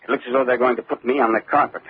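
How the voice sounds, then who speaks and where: An actor playing a worried, glum middle-aged company boss in an old-time radio drama, speaking in an anxious, resigned way. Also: male voice, medium pitch, steady tone, rapid-fire, slightly rough. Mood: gloomy. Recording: tinny old radio recording, phone-like band.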